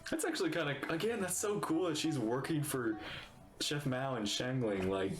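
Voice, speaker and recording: Animated man reacting to a game. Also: mid-range voice, normal speed, echoey room, faint music behind. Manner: excitable, rambling, talks back to characters. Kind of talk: casual gameplay reaction commentary.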